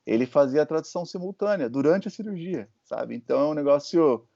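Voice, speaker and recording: A Brazilian man hosting an interview, telling stories in a relaxed way and checking in with his listener as he goes. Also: fairly high pitch, brisk, vocal fry. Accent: Brazilian Portuguese. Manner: conversational storytelling, checks in with "né?" and "certo?". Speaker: host and physician. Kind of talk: casual interview chat. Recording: muffled lo-fi audio.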